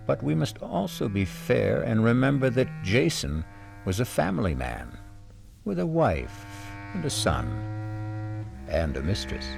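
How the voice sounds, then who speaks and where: A man hosting and narrating a radio mystery drama in a grave, measured storyteller's voice. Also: mid-range voice, big pitch swings, creaky. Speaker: show host and narrator. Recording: narration over music.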